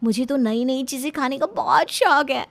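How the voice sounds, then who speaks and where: A woman's voice: high-pitched, normal speed, gravelly, fairly bright tone, voice pushed up. A woman voicing a cheerful, chatty young newlywed wife in a cartoon story, bubbly and eager. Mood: excited, cheerful. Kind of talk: animated family story dialogue.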